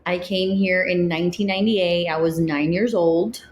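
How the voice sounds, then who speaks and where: A woman's voice: fairly low pitch, fairly even intonation, moderate pace, darkish tone, roomy sound, slight background noise. A woman in her thirties from Mexico, Spanish her first language, co-hosting a podcast with blunt, dry jokes. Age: 30s. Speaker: podcast co-host. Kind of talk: casual chat.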